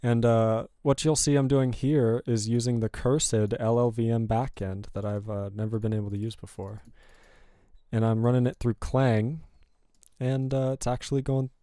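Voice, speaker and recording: A man's voice: mid-range voice, normal speed, dry recording, studio quality. A man hosting a live programming stream, chatting casually and rambling as he works through code. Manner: relaxed, rambling, self-correcting. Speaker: programming livestream host. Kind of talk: casual live coding chat.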